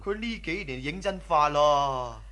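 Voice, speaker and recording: An actor playing a humble, easily impressed casino underling in a radio drama, chummy and deferential. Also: male voice, fairly high pitch, animated, a little slow, sharp tone. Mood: admiring, curious. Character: humble, eager casino underling, admiring younger male cousin. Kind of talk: Cantonese radio drama acting.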